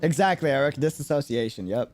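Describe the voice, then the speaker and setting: Animated, musing male game streamer. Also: medium pitch. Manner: musing, halting, often seeking agreement. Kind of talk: casual live stream commentary. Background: game music.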